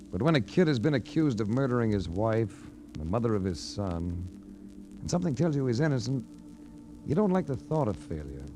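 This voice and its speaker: An actor playing a cool, laconic private investigator in a 1940s radio drama. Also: male voice, lowish voice, warm tone. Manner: laconic, wry. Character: cool, wry hard-boiled private investigator, a man. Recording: noisy vintage 1940s radio recording.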